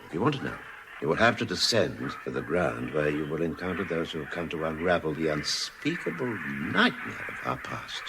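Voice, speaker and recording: A man playing a mournful, theatrical bird in a radio comedy, speaking in a halting, wistful way. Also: a bass voice, very animated, very noisy, exaggerated voice. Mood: tragic. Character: mournful, dramatic male bird sworn off walking. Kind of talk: radio comedy drama acting.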